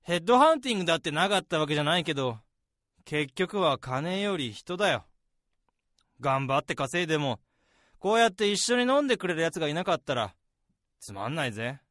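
A man's voice: a little high, very animated, fairly quick, fairly bright tone, dry recording, clean studio sound. A man playing an easygoing, sociable senior office worker in a radio drama, talking casually to his junior. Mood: easygoing, advising. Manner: casual, blunt masculine speech of a senior. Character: easygoing male senior salaryman, sociable, beer-loving, mildly wary. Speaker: radio drama actor and narrator. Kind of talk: radio drama dialogue and narration. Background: background music.

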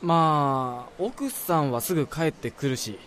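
A man's voice: a little high, a little slow, a bit bright. An actor playing a blunt senior office worker who is concerned for his colleague, in a radio drama. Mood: uneasy. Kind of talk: Japanese radio drama acting.

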